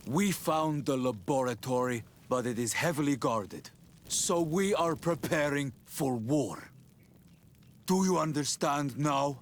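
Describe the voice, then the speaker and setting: An actor playing a grave, distrustful rebel chief in a fantasy video game, stern and measured. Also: male voice, fairly high pitch, expressive.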